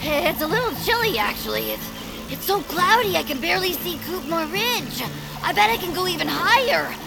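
Very high-pitched, plucky young inventor creature. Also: female voice, sing-song, moderate pace, gravelly, loud music behind the voice, heavily acted, rough recording. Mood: exhilarated. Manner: breathless, exclaiming, talks to self. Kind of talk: audio fiction cartoon acting.

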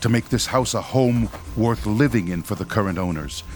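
Man doing stern fifties professor type ghost hunter, lowish voice, formal, faint music under it. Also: moderate pace, a little mellow, close to an everyday voice. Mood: solemn, firm. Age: 50s. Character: grave, principled male ghost hunter and ex-priest in his fifties. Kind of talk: horror radio drama acting.

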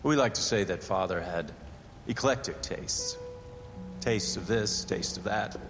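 An actor playing a wealthy, courteous, slightly smug heir in an audio drama, with a polite, refined, airy manner. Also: male voice, on the low side, measured pace, over music. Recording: long echo of big hall, ambient noise.

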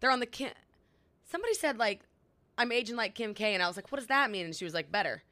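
Woman, 30s, podcast co-host, bright voice, chatty storyteller. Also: fairly high pitch, expressive, fast. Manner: chatty storyteller, lots of fillers. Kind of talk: casual chat.

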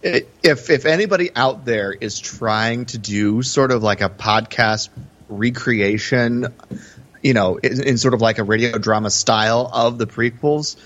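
A man's voice: medium pitch, a little slow, fairly bright tone. A male podcast host in casual film discussion, talking emphatically in a conversational way. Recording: slightly dull, band-limited podcast audio.

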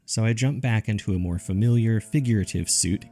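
A man with a low, gravelly voice narrates an eerie first-person tale. His delivery is measured and dryly conversational, like someone reading out a journal. Music plays clearly beneath him. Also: warm tone. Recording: music under the voice. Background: background music.

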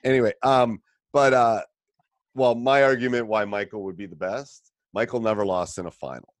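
A man hosting a casual podcast conversation as a coach, blunt and animated, his voice jumping up when he makes a point. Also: moderate pace, no room sound. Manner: animated, blunt opinions, rising pitch when emphatic. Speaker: podcast host and basketball coach. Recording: dry close sound.

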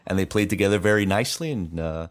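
A man's voice: deep voice, quick pace. A middle-aged man co-hosting a chat show, talking casually in an enthusiastic, meandering storytelling way.

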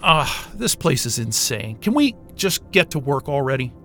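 An actor playing an irreverent, wisecracking astrophysicist in a sci-fi audio drama, with a sarcastic, informal and exasperated way of talking. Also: male voice, mid-range voice, very animated, moderate pace, a bit bright, faint music behind. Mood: sarcastic, grumbling. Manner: sarcastic, informal, hesitant muttering and outbursts.

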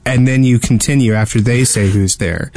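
A man's voice: mid-range voice, normal speed, a little mellow, no echo. A man co-hosting a podcast, telling stories and bantering casually with joking mock exasperation. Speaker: podcast co-host.

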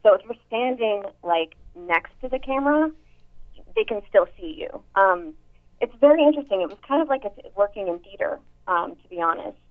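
A young actress with a somewhat deep voice, chatting casually in an interview with many fillers and restarts. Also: female voice, lively intonation, brisk. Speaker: actress and voice actor. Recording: tinny phone-like line.

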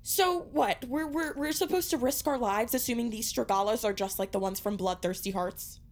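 A woman playing a defensive, sarcastic, vain high school girl in an audio drama. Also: normal speed, natural voice.

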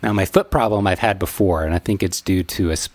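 A man's voice: low-pitched, fast. A man co-hosting a podcast, a photographer chatting in an easygoing way and laughing often, recorded on poor phoned-in audio. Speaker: podcast co-host, wedding photographer. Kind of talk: casual chat.

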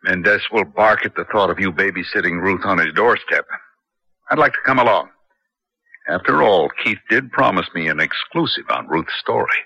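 An actor playing a shrewd, matter-of-fact newspaper reporter in a 1970s radio drama. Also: male voice, deep voice, normal speed, sharp tone, breathy edge, no echo, heavily acted. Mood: wry, eager. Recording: muffled vintage radio broadcast.

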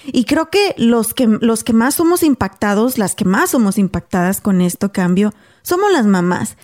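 A woman's voice: on the high side, very animated, moderate pace, a little mellow. A woman from Mexico hosting a casual podcast chat, earnest and conversational. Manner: earnest, conversational, laughs easily. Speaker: podcast host.

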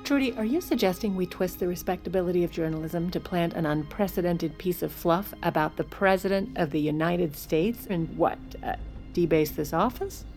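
A shrewd First Lady played by a woman in an audio drama, with a fairly low voice. She is wry and commanding, confiding like someone used to managing powerful men. Music plays clearly behind her. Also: moderate pace, darkish tone, lightly acted. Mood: scheming, thoughtful. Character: shrewd, dry, protective First Lady narrating her own story. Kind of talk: scripted audio drama narration and dialogue.

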